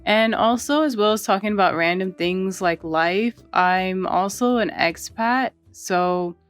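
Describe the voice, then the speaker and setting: A woman chatting casually while she draws, relaxed and rambling, often saying "like" and "you know". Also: medium pitch, unhurried, clear, resonant tone, soft background music. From United States. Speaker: art channel host. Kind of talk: casual chat while drawing.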